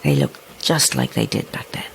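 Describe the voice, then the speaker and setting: An actress playing a sly, sweetly menacing ancient old woman in a horror audio drama, husky and gravelly, with slow, relishing delivery. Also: lightly acted. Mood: cold, threatening. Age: older. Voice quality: husky, gravelly, sometimes hissing whisper. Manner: slow, relishing, theatrical, condescending endearments.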